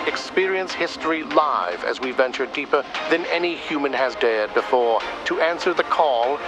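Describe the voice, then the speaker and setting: A man's voice: a little high, animated, normal speed, over music, lightly acted. A man playing a portentous, promotional announcer in a dramatic radio advertisement for an epic expedition. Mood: grand, enticing. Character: grandiose announcer pitching an epic deep-sea expedition broadcast.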